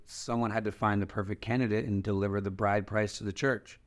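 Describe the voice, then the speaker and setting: A man's voice: fairly low pitch, fairly even intonation, brisk, lightly acted. A man playing a solemn dragon-shifter recounting old lore in a fantasy screenplay table read.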